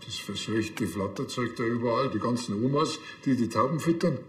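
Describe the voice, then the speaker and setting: A man's voice: medium pitch, moderate pace, fairly bright tone, echoey room, a little hiss or hum, natural voice. An actor playing a self-absorbed, tactless policeman in a TV crime comedy, speaking casually with a Bavarian accent. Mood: teasing.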